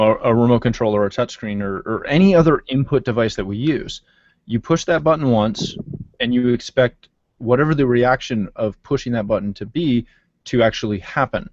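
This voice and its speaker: A man's voice: medium pitch, darkish tone, no room sound. A man in his thirties hosting a casual video-call panel chat from his home office, with loose talk full of fillers and restarts. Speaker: podcast host. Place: home office with shelves and wall clock. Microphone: desk mic on video call. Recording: dry, muffled video-call audio.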